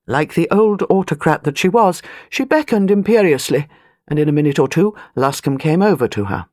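A woman's voice: low-pitched, lively intonation, moderate pace, a little mellow, no echo, studio quality. A British woman reading a novel as an audiobook narrator, precise and wry. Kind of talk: scripted literary narration.

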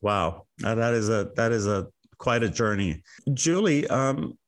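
Low-voiced male host, halting and friendly. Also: expressive. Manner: halting, many restarts and fillers. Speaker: radio talk show host. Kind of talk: live interview.

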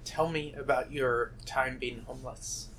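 A man's voice: medium pitch, fairly even intonation, a little slow, fairly bright tone, slight background noise, poor audio. A man interviewing, asking short plain questions from away from the microphone.

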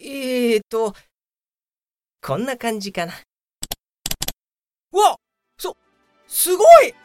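A woman voicing a meek, excitable young male office worker in a radio drama, earnest and hesitant. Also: fairly high pitch, slow pace, faint music behind. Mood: amazed. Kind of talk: Japanese radio drama acting.

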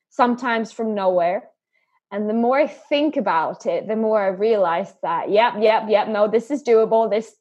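A woman's voice: medium pitch, a little mellow. Woman guest with dull lo-fi sound. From Sweden and England. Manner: earnest, repeats words when starting. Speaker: podcast guest. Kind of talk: conversational interview.

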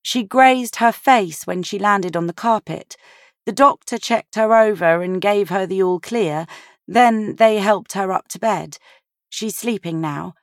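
A woman voicing a kind, calm woman, a caring granddaughter in an audiobook, polite and gently earnest. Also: medium pitch, unhurried, no echo, clean studio sound, pitched above the performer's own voice, close to an everyday voice. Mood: reassuring.

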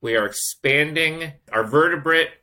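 A man's voice: a little high, unhurried, sharp tone. A man co-hosting a science podcast, introducing the guest and explaining things with enthusiasm. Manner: enthusiastic, explanatory, building up to a reveal. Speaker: podcast co-host.

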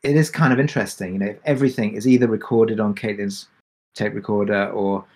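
A man speaking reflectively as a creator on a Q&A panel, pausing and repeating words as he works through his thoughts. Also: fairly low pitch, fairly even intonation, darkish tone. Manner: thoughtful, halting, repeats words mid-sentence. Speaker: podcast creator and executive producer on a panel.

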